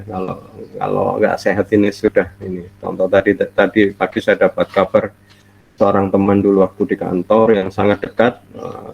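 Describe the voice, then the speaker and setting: A man's voice: low voice, creaky, breathy edge. A middle-aged man gives a friendly, chatty online university lecture from home, his voice nasal and stuffy from a cold. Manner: friendly, chatty, laughs often, drawn-out fillers. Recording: dull lo-fi online call audio.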